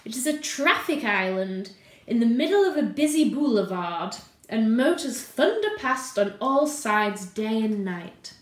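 Woman acting theatrical old lady, high-voiced. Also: big pitch swings, distant mic. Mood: grand, haughty. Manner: theatrical, deliberate, drawn-out delivery. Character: eccentric posh old lady, grandly vain storyteller. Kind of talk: dramatic reading of a short story. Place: indoor room at home. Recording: roomy home sound.

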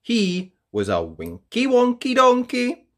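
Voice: medium pitch, wildly swooping pitch, slow. A storyteller reading a children's picture book aloud in a playful, theatrical way, drawing out key words for emphasis. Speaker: storyteller, host of a read-aloud show.

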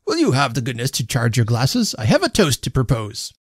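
Man acting a pompous, booming dinner host. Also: expressive, no room sound, clean studio sound. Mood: important. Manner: pompous, halting, stentorian. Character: self-important, stammering middle-class host making a pompous toast. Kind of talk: storybook character voice.

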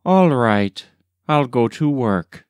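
A weary, stubborn male lumberjack from a storybook, acted out by a male narrator. He sounds troubled and set in his ways, with expressive pitch and a dry recording. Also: takes it slowly, clean tone, dry recording. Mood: quietly stubborn. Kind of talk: storybook reading.

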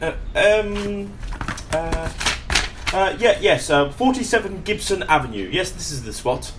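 A man acts out a posh, inept British gentleman in a comic radio play. He speaks in a slightly high voice with grand, fussy formality, often faltering. Faint noise sits on the recording. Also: expressive, measured pace. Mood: grandiose, earnest. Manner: grandiose, hesitant, fussily formal. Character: pompous, inept posh brother running a failing antique shop. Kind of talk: radio comedy acting.

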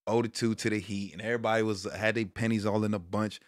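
A man in his thirties from Brooklyn, hosting a casual sports talk stream from a small room at home, switching between loud hype and low muttered asides. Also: fairly even intonation, quick pace, no echo, studio quality. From Brooklyn, New York. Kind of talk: casual monologue to viewers.

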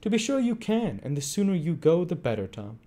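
A man's voice: fairly high pitch, big pitch swings, brisk, warm tone, voice pushed up. A man voicing a sly, wheedling old crime boss with a coaxing, falsely affectionate manner in a storybook reading. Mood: urging. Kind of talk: acted dialogue in a classic novel reading.

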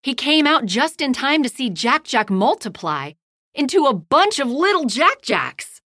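Slow, lively woman reading a bedtime story. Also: high voice, no echo, studio quality. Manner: slow, lively storytelling, hushed at bedtime lines and loud in action. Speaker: storybook reader. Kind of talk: read-aloud children's bedtime story.

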